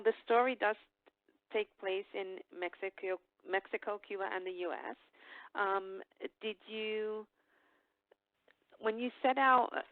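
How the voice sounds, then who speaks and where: A middle-aged woman hosting a casual book interview, speaking haltingly and often repeating or rephrasing her words. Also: fairly low pitch, dry recording. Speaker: podcast interviewer, book club coordinator. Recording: narrow phone-line sound.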